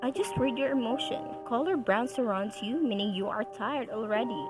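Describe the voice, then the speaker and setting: A woman's voice: on the high side, normal speed, natural voice. A woman playing a cryptic, insistent female student in an amateur radio drama. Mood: insistent, explaining. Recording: music behind the voice.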